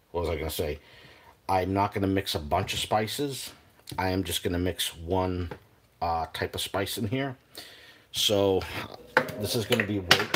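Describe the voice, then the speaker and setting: A man's voice: low-pitched, noisy background. An older man with a New England accent, chatting casually while cooking on a livestream, rambling with lots of fillers. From Boston area, New England. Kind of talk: casual live cooking chat.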